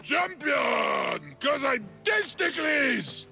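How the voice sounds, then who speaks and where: An actor playing a boastful, dim-witted male wrestling champion who talks with gravelly, slurring bluster in a video game cutscene. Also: extremely high pitch, huge pitch swings, extremely slow, pushed and bright, shouting, soft background music, exaggerated voice. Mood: boisterous.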